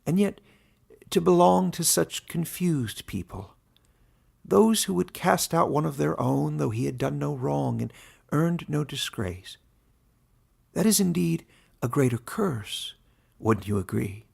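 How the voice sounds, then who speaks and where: A man playing a wise old forest warden in a fantasy story, gentle, soft-spoken and measured. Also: fairly high pitch, lively intonation, vocal fry, slightly rough, voice pushed up, full character voice. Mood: gentle, consoling.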